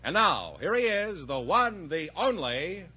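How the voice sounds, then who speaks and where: A man's voice: high-pitched, very animated, measured pace, heavy background noise. An American man announcing a vintage radio show in a scripted introduction. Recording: dull vintage radio broadcast recording. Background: loud music.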